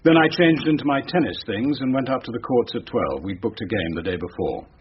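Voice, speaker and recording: An actor playing a curt, guarded English army captain in a radio drama, speaking in a clipped, defensive and coolly reserved manner. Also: male voice, medium pitch, expressive, a little fast, close to an everyday voice. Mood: controlled, factual. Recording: dull radio recording with faint noise.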